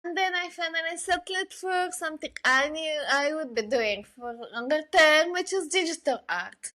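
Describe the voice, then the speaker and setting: A woman from Bulgaria chatting casually as an interview guest, thinking aloud as she answers in a measured way. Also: high voice, bright, forceful tone. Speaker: podcast guest, illustrator and digital artist.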